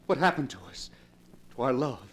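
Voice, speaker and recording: An actor playing a lovesick, tormented husband under a spell, theatrical and volatile in a 1940s radio horror show. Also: male voice, mid-range voice, lively intonation, normal speed, natural voice. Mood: lovesick pleading. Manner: theatrical, swinging from hushed to frantic. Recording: noisy vintage 1940s radio recording.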